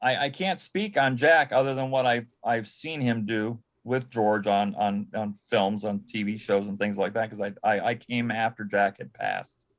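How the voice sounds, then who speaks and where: Panel guest: American man, gravelly low voice, reflective storyteller who pauses and repeats himself. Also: steady tone. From Michigan, United States. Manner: halting, reflective, repeats words. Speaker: panel guest, former assistant to an entertainer. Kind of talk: reminiscing in a panel interview.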